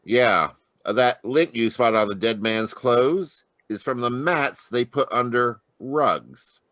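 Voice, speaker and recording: A man's voice: mid-range voice, slow, a bit bright, dry recording, clean studio sound. A man playing a plain-spoken, slightly hesitant police lieutenant in an old-time radio detective drama. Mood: puzzled, businesslike. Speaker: actor. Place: live online video call.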